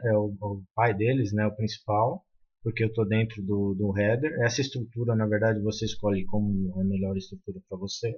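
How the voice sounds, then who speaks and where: A man's voice: fairly low pitch, a little mellow, muffled recording. A man with a Brazilian accent explaining a coding tutorial step by step, with frequent fillers and restarts. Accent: Brazilian Portuguese. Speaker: programming tutor.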